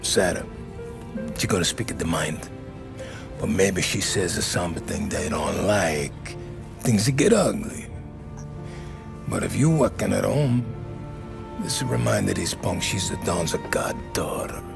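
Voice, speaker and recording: A man's voice: deep voice, lively intonation, measured pace, vocal fry, pauses often, full character voice. An actor playing an older, fatherly Italian bar owner, speaking hesitant broken English with an Italian accent. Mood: worried. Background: game score music.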